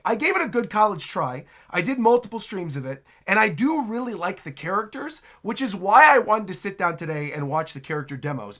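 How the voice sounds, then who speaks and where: Animated, bright-voiced man reacting. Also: high-pitched. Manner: animated, exclamatory, chatty. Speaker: video host, streamer. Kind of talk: casual reaction commentary.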